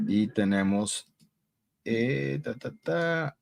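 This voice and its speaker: A man's voice: mid-range voice, expressive, takes it slowly, creaky. A man teaching an English grammar class live online, upbeat and encouraging, switching between Spanish, English and Portuguese. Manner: repeats praise like muy bien. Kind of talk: live online class reading student answers.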